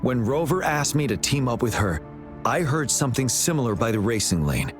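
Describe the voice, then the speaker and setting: A man voicing a calm, authoritative and dutiful military commander in a video game. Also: medium pitch, brisk, lightly acted. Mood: composed, commanding. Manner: composed, formal, commanding. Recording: music behind the voice. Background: background music.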